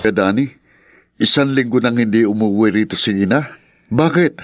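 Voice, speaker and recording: A man's voice: fairly low pitch, normal speed, faint music behind, lightly acted. A man with a Filipino accent playing a concerned younger friend who speaks frankly and admonishingly in a radio drama. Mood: concerned reproach. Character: concerned, scolding younger male friend of a drunkard.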